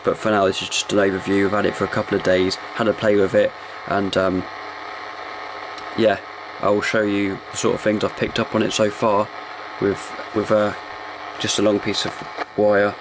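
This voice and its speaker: A man with a lowish, quite monotone voice gives a casual hands-on review. He talks in an informal, low-key way. There is clear noise in the recording. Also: normal speed. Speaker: amateur radio reviewer.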